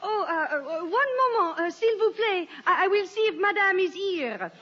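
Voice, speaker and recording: A woman's voice: extremely high pitch, lively intonation, moderate pace, a bit bright. A woman playing an evasive actress who poses as her own snooty secretary in radio comedy, stalling coyly with feigned politeness and French affectations. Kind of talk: 1950s radio comedy acting. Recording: muffled old radio broadcast recording.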